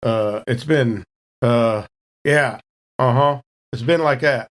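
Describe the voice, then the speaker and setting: A man's voice: mid-range voice, takes it slowly, lightly acted. A man playing a flustered, folksy spaceship crew member who rambles hesitantly in a tabletop game. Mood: uneasy, flustered. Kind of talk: tabletop game role-play.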